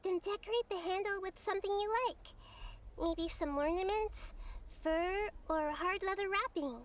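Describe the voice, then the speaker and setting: A woman voicing a gentle, wise young girl deity in a video game who speaks softly and thoughtfully. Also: extremely high pitch, normal speed, a little hiss or hum. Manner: soft, thoughtful, patient counselling. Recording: game audio with music behind.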